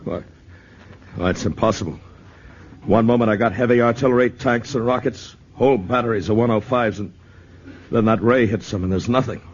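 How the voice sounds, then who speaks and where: An actor playing a flustered male army officer who reports in rapid bursts, in a 1950s radio drama. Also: mid-range voice, slight background noise, natural voice. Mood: shaken. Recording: dull old radio broadcast.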